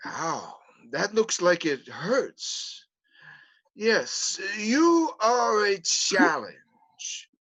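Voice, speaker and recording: A man's voice: high-pitched, sing-song, drawn-out words, bright, forceful tone, heavily acted. Man reading a pompous hospital doctor. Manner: hesitant, stumbling over fillers. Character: pompous male hospital psychiatrist called the Great Oz. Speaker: play reader. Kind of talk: livestream script reading. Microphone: home mic on a livestream.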